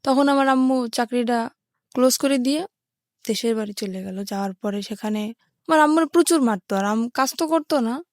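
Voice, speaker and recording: Female guest from southern Bangladesh, higher-pitched, plain colloquial storytelling, close and dry recording. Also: brisk, no echo. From Patuakhali, southern Bangladesh. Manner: plain, colloquial, step-by-step telling. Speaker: guest telling her life story. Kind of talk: personal storytelling.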